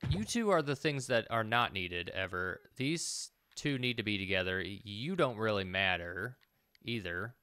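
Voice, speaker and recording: Bright-voiced man casually musing over a game. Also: lowish voice, animated, measured pace, clear, resonant tone, no echo. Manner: casual, muttering to himself, musing. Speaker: gaming video host. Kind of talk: casual let's play commentary, thinking aloud.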